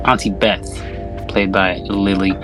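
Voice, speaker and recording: A man's voice: sits low, flat delivery, measured pace, over music, poor audio. A man hosting a podcast, chatting casually about a film in a slangy, jokey way with some swearing. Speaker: podcast host.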